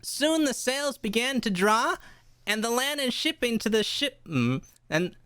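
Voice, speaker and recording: A woman playing an earnest young cabin boy who tells his adventure in a live radio play, reading a little haltingly. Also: on the high side, sing-song, very noisy.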